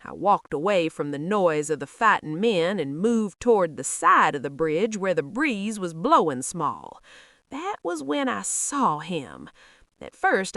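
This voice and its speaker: A woman's voice: lowish voice, fairly bright tone, dry recording, studio quality, exaggerated voice. A woman playing a proud, bitter, regretful Nigerian market mother who tells her story in the first person with emphatic, dramatic delivery and a Nigerian accent. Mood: angry, exasperated, then uneasy, hushed.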